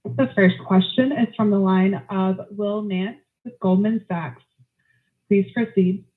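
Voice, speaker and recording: A woman's voice: mid-range voice, fairly even intonation, slow. Formal female operator on a phone line. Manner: formal, procedural. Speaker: conference call operator. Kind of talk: scripted announcements. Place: telephone conference. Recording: narrow phone line audio.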